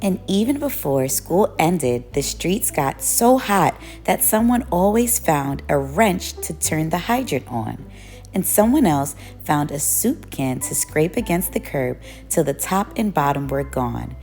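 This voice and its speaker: A woman reading a picture book aloud as a storyteller, with expressive, measured narration. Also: sits low, soft background music. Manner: measured storytelling, acts out children's quoted calls.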